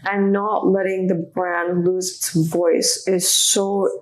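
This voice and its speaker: A woman in her thirties who grew up in Indonesia, with Indonesian as her first language, talking in a relaxed, reflective way with many fillers as an interview guest in a studio room. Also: lowish voice, slow pace, rough recording. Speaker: guest, handbag designer. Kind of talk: casual interview chat.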